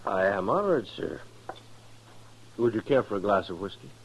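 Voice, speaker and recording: An actor playing a proud, cool gentleman gambler in a 1950s radio western, formal, polite and self-assured. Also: fairly low pitch, animated, moderate pace, breathy edge. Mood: courteous, cool. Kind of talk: 1950s radio drama acting. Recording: dull 1950s radio recording.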